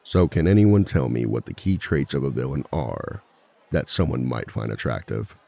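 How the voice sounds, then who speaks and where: A man plays a villain seminar instructor with an extremely low, heavily creaky male voice. He is composed and dryly authoritative, polite as he addresses a class in an ASMR roleplay. The tone is dark and mellow. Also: animated, moderate pace, some chatter behind, exaggerated voice. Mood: confident, instructive. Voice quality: very deep, gravelly. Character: composed, dry-witted male villain teaching a relationship seminar. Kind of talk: scripted ASMR roleplay monologue.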